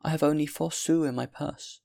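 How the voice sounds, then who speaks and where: A man voicing a kindly, concerned old noblewoman who asks gentle questions in an audiobook story. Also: a little high, steady tone, normal speed, vocal fry, pitched above the performer's own voice. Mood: pitying. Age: older. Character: kindly, concerned old marquise, a pious woman.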